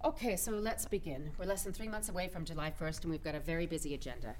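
A woman's voice: deep voice, fast, darkish tone, a little hiss or hum, natural voice. A woman playing a composed, guarded communications director in a naturalistic audio drama, with a businesslike, slightly hesitant manner.

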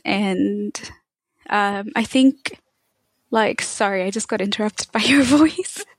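A woman's voice: mid-range voice, slow, no room sound. Thoughtful woman, dry close sound. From Tanzania. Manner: thoughtful, with frequent fillers. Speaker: guest. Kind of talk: conversational interview.

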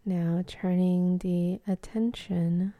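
A woman leading a guided meditation in a soft, calm, half-whispered voice with long pauses between phrases. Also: on the low side, no room sound.

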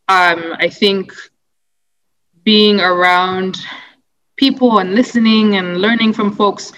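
A young woman from Uganda answering interview questions in an earnest, thoughtful way, with frequent pauses, fillers and restarts. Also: lowish voice, a bit bright, poor audio. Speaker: interviewee, anti-racism advocate.

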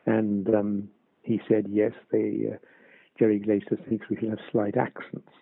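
Older man, actor interview, hesitant restarts, low husky voice, phone-like sound. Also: completely flat delivery, a little slow, no room sound. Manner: hesitant, with many restarts and chuckles. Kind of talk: reminiscing interview. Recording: dry, tinny phone-like line.

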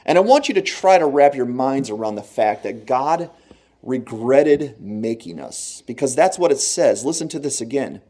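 Earnest man preaching in a mellow voice. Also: medium pitch, lively intonation. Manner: earnest, conversational preaching with slow emphatic phrases; laughs at own jokes. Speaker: pastor. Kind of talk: sermon.

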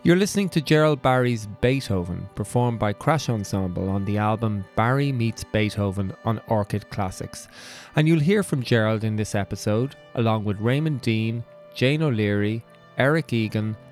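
A man's voice: lowish voice, normal speed, faint music behind. A man presenting a podcast with a scripted introduction.

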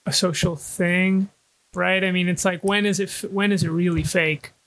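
A young man co-hosting a podcast in casual chat, with a high-pitched voice and a loose, joking way of talking. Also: steady tone, moderate pace, a bit bright, low-quality recording. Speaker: podcast co-host. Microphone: own home recording setup.

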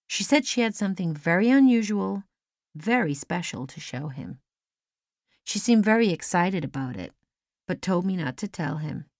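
A woman's voice: lowish voice, expressive, moderate pace, a little mellow, no room sound, studio quality. A woman reading a first-person story as scripted audiobook narration. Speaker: audiobook reader. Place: dry studio.

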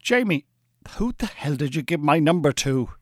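An actor with an Irish accent playing a smooth, bossy, pompous hypnotist and con-artist in a radio drama. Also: male voice, fairly high pitch, big pitch swings, moderate pace, a little hiss or hum. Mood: irritated, bossy.

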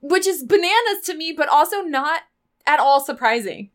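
A woman's voice: high voice, lively intonation, normal speed. A woman co-hosting a podcast, chatting casually in a playful, teasing way. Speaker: podcast co-host and player. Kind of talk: casual chat.

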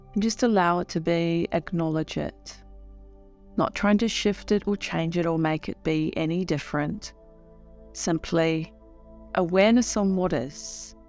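A woman leading a guided meditation in a soothing, unhurried way with long pauses. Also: lowish voice, darkish tone.

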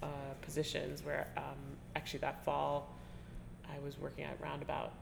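A woman interviewing a colleague in a relaxed, chatty way, often trailing off and restarting and laughing as she talks. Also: deep voice, normal speed, creaky, background noise. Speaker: interviewer, teaching artist. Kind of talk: conversational interview. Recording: reverberant room with faint ambience.

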